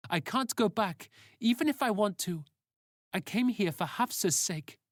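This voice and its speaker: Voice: medium pitch, animated, a little slow, no echo, studio quality, voice pushed up. A narrator voicing a nervous but determined young man in a sci-fi story, with an insistent, anxious manner. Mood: insistent, flustered.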